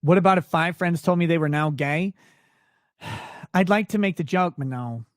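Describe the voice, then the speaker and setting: Higher-voiced man chatting on a livestream. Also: normal speed, no echo, studio quality. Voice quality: voice not deep. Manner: crude, swearing, blunt. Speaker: livestream host. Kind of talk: casual late-night livestream chat, reading and answering viewer questions.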